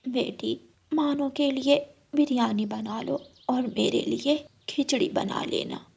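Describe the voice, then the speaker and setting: A woman voicing a kindly, homely elderly mother-in-law bird in a cartoon, softly and slowly giving instructions. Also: high voice, creaky, husky, exaggerated voice. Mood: weary, kindly.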